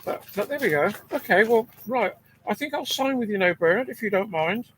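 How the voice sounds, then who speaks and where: A man with a deep-sounding voice, an amateur radio operator chatting relaxed on air from a field station. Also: a little fast, a little hiss or hum. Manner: relaxed, hesitant with fillers. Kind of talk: ham radio contact chat. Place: outdoor field station on a generator.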